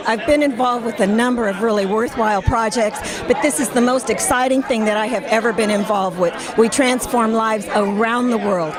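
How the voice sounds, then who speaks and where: A woman from Oklahoma gives a gushing, enthusiastic testimonial. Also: a little high.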